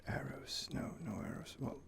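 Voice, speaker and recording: A man softly whispering ASMR commentary on a fantasy game he is playing, rambling and hesitant, close to the microphone. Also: moderate pace. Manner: soft-spoken, rambling, hesitant. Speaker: gaming channel host.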